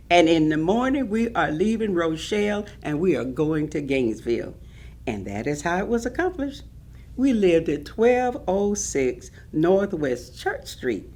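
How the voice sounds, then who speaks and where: An actress playing an elderly Southern woman recalling her life in an expressive, emphatic staged reading of oral history. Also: low voice, heavily acted. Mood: proud, animated.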